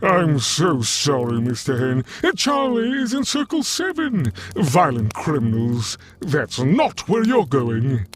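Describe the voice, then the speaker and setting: An actor playing a gleeful, campy Satan in a comedic audio drama, theatrical, chatty and mock-courteous. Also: male voice, fairly high pitch, huge pitch swings, slow pace, hardly any pauses, heavily acted. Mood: smug, courteous. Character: gleefully campy male Satan, punning corporate devil host.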